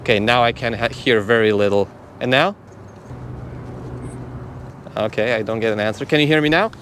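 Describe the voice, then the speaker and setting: A man's voice: medium pitch, moderate pace, fairly bright tone, a little hiss or hum. A man giving a casual, chatty product demonstration as a vlogger.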